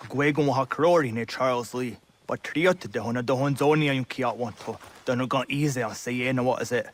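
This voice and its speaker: A man's voice: medium pitch, fairly quick, slight background noise, close to an everyday voice. An actor playing an earnest young man in a dramatic video game scene.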